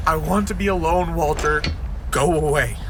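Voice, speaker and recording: A man's voice: fairly high pitch, moderate pace, background noise, natural voice. A young man acting in an audio drama as an earnest, anxious, wry student on a spaceship. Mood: sullen.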